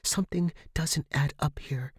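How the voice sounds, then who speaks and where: A man voicing an anxious, bossy young woman in a fiction podcast, hushed and urgent, often whispering. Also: on the high side, fairly even intonation, unhurried, slightly rough, dry recording, clean studio sound, pitched above the performer's own voice. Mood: worried whisper. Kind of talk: audio fiction character dialogue.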